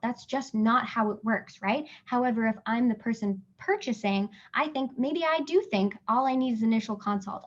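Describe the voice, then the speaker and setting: A woman's voice: a little high, dull audio. A woman from Canada hosting a live business webinar, chatty and encouraging, checking in often with her listeners while losing her voice. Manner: chatty and encouraging, many fillers and "right?" checks. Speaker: webinar host and business coach.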